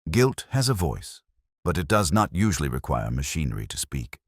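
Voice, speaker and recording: Guy reading as a victorian detective, very deep voice, cool n cryptic, deliberate, pitch moves a lot. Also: big pitch swings, slightly rough, dry recording, clean studio sound, heavily acted. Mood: shrewd, probing. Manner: deliberate, aphoristic, commanding.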